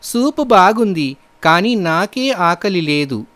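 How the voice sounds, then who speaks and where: Man doing a high-pitched offended storybook bird. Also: very animated, takes it slowly, a bit bright, no room sound, full character voice. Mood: hurt, restrained. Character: proud, offended long-beaked bird in a fable.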